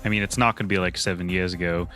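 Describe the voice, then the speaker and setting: A man's voice: sits low, steady tone, racing, fairly bright tone, soft background music. A man playing a tabletop role-playing game, narrating his character's actions casually with a wry manner.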